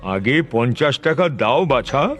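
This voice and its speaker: A man playing a wheedling, patronising street fortune-teller in an animated cartoon, with a coaxing, lordly sing-song manner. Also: medium pitch, slow pace, faint music behind. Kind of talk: animated cartoon dub acting.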